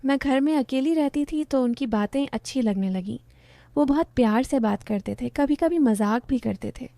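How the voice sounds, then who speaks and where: A woman narrating a first-person story in a steady storytelling tone. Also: sits high, moderate pace, dry recording.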